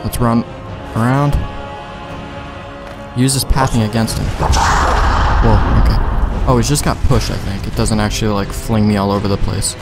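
A man giving casual, rambling gameplay commentary with plenty of slang and swearing. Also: on the low side. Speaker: let's play gamer. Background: game music.

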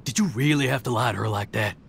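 A man's voice: medium pitch, quick pace, gravelly, fairly bright tone, slight background noise. A man playing an exasperated, stern but caring father in an anime game, with a scolding manner. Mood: weary. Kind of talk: anime video game voice acting.

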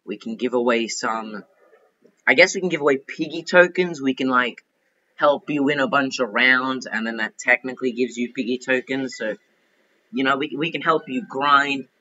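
A man's voice: medium pitch, moderate pace, bright, forceful tone, dull audio. A man giving casual, informal gameplay commentary as the host of a gaming video, recorded at a computer.

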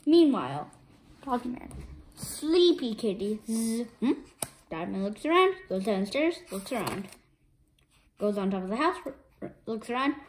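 A woman's voice: on the high side, very animated, measured pace, a little hiss or hum, poor audio. A woman reading a children's comic book aloud expressively, voicing the sound effects herself. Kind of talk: animated picture-book read-aloud.

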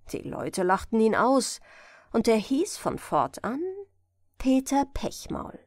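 A woman narrating a fairy tale as a gentle bedtime audiobook reading. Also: on the high side, dry recording, clean studio sound. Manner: slow, melodic storytelling.

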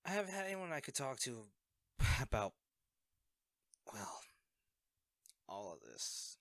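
A man's voice: mid-range voice, huge pitch swings, slow, creaky, a bit bright, no room sound, clean studio sound, full character voice. A man acting a young superhero in an audio roleplay, a sheepish, caring best friend who speaks conversationally and a little hesitantly. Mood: earnest.

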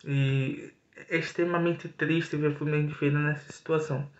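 A man's voice: fairly high pitch, fairly even intonation, normal speed. Earnest young man, echoey room. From Brazil. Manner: earnest, frequent hesitations and restarts. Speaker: football commentator. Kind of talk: solo football analysis to camera. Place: small indoor room. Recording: echoey room, slightly dull audio.

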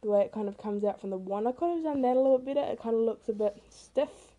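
A teenage girl with a rough, hoarse voice, casually narrating her own speed painting in a chatty, self-deprecating way. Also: fairly high pitch, quick pace, phone-quality sound. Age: teens. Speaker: art vlogger. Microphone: camera mic.

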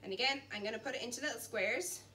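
A young woman with a bright, forceful voice talks viewers through a recipe in a chatty, encouraging way, keeping up the talk while she chops and mixes. She sounds a little high for a woman. She is recorded from across a large kitchen, with faint noise underneath. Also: fast talker. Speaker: cooking instructor. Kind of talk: cooking demonstration. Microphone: camera at a distance. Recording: distant mic, faint background noise.

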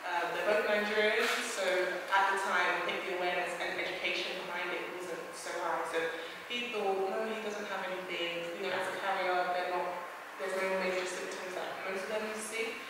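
A woman's voice: on the low side, fairly even intonation, normal speed. A woman on a panel telling her family story in a plain, matter-of-fact way. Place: large hall with audience. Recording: echoey hall from far off, noisy.

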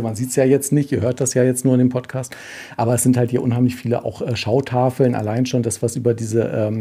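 Hesitant man with a dark, husky voice. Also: mid-range voice. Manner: hesitant, searching for words. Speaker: author, podcast guest. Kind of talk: casual spoken commentary on an exhibition.

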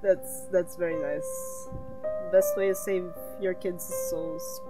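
A woman's voice: fairly low pitch, steady tone, slow pace, music in the background, poor audio. A woman giving casual, sardonic commentary as she streams a game. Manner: sardonic, wry.

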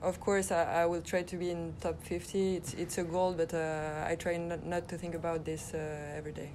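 A young French woman tennis player in a post-match interview, speaking English with a French accent and answering modestly with many pauses. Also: on the low side, level tone, darkish tone, a little hiss or hum. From France. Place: press room at a tennis event, indoors.

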